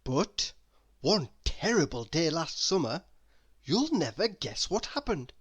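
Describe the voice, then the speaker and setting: A woman reading a children's story aloud, expressive and deliberate, with dramatic emphasis. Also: deep voice, slightly rough. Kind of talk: children's read-aloud narration.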